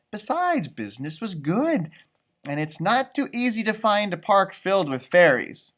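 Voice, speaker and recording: A man reading a children's story aloud, adding chatty, improvised asides about the pictures. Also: high-pitched. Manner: animated, chatty commenting on pictures. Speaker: storybook reader.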